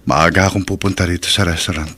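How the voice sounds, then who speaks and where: A man's voice: deep voice, fairly even intonation, fast, sharp tone, slight background noise. A Filipino man acting in a horror radio drama as a practical, reassuring fisherman who owns a restaurant. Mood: matter-of-fact.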